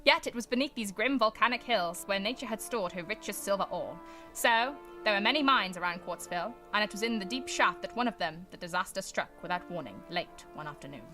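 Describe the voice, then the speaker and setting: An old-time radio serial announcer played by a woman delivers scripted lines quickly and dramatically. The voice is medium in pitch and earnest, declaiming over music. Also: expressive, natural voice. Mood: grave, dramatic. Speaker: announcer and narrator.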